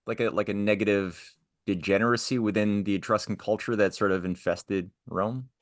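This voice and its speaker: A man's voice: fairly low pitch, fairly even intonation, normal speed, no room sound, clean studio sound. A man in an informal study discussion, talking in a tentative, questioning way. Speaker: study group participant.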